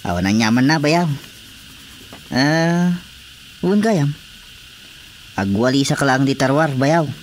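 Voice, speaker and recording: An actor playing an eager, hesitant young brother-in-law in an Ilocano radio comedy drama, with an Ilocano accent. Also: male voice, on the high side, expressive, slow pace, long pauses. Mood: obliging, sheepish. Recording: faint background noise with crickets.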